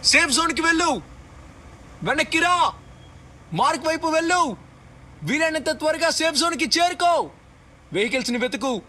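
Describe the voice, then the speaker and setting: Video game squadmate, male, very high pitch, sharp forceful tone, excitable, short urgent orders. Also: heavily acted. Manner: clipped, imperative callouts. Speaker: game character voice.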